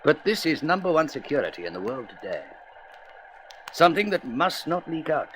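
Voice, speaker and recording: A man's voice: on the high side, big pitch swings, normal speed, fairly bright tone, slight background noise, rough recording. A man playing a courteous, grave president of a space council in a radio serial, formal and emphatic. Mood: grave urgency. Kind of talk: vintage science-fiction radio serial acting.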